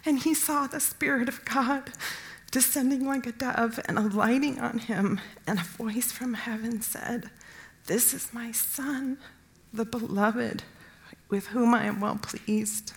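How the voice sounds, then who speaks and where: A woman reading scripture aloud in a measured way. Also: on the high side, steady tone, rough recording. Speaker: scripture reader.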